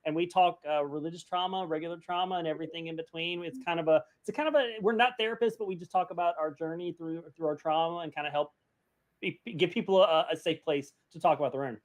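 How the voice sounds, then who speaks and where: A man's voice: fairly high pitch, quick pace. A man chatting casually as a co-host on a podcast. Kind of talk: casual chat.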